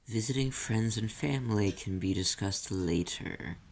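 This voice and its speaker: A man playing a silky, possessive demon in an ASMR roleplay, speaking deliberately and teasingly in a low, gravelly voice. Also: a little hiss or hum. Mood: possessive, coaxing. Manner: slow, deliberate, teasing, theatrical. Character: possessive, silky demon claiming an owned soul.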